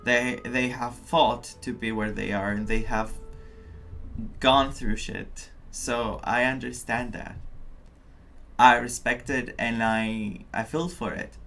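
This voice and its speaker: A man's voice: medium pitch, steady tone, slow, vocal fry, a bit bright, soft background music. A man who is not a native English speaker gives casual, reflective live commentary as a game streamer, searching for words.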